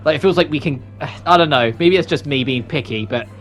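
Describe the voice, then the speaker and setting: A man's voice: fairly high pitch, brisk, a bit bright. A man hosting a let's play, reading story dialogue aloud and adding casual commentary. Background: game music.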